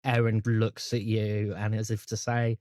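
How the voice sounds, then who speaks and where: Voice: mid-range voice, little pitch movement, unhurried, a little mellow, dry recording. Dry, close-sounding game master. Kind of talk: narrating an improvised tabletop game.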